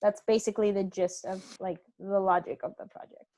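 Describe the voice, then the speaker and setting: A woman's voice: mid-range voice, flat delivery, brisk, warm tone. A woman speaking casually as a guest in an interview about her creative work. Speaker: interview guest, theater company co-founder.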